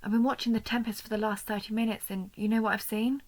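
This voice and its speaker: A wounded, proud vampire huntress acted by a woman with a creaky, measured voice, the sort heard in a late-night audio drama guarding a friend outside a ruined temple. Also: a little high, little pitch movement. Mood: wry, watchful. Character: injured female vampire hunter of vampires, protective, proud, wry. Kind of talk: scripted audio roleplay addressed to the listener.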